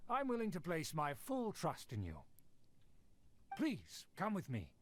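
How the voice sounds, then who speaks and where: A man's voice: fairly high pitch, wildly swooping pitch, a little slow, slight background noise. A man voicing a haughty, secretive nobleman in a video game, speaking in a pompous, florid, formal way. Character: pompous, impoverished young-to-middle-aged male nobleman, haughty and secretive.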